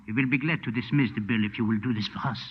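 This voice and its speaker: A man's voice: mid-range voice, very fast, husky, close to an everyday voice. Man playing an anxious hotel keeper, reverberant sound. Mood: worried, pleading. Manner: hesitant, pleading. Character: anxious, courteous Frenchman, pleading hotel keeper. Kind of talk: 1940s radio drama acting. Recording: dull, reverberant old radio broadcast.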